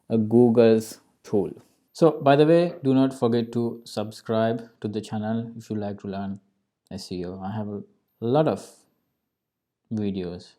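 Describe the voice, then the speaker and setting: A man's voice: mid-range voice, mellow. A man with a Sri Lankan accent presenting an informal step-by-step tutorial, often checking in with the listener. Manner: frequently checks in with "right?" and "okay?". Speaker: SEO tutorial host.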